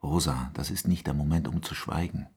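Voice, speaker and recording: A man's voice: steady tone, brisk, a little mellow, heavily acted. An actor playing a grave, insistent policeman in a radio drama, questioning with restraint. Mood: pressing, concerned. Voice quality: very deep, husky. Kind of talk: naturalistic German radio drama dialogue.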